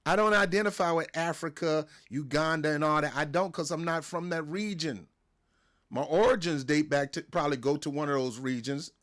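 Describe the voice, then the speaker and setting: A man's voice: fairly high pitch, moderate pace, fairly bright tone. An American man hosting a wrestling show, ranting in a casual, emphatic way, repeating himself and restarting his words. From United States. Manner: emphatic, repetitive, stumbles and restarts. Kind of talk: casual opinion rant to camera.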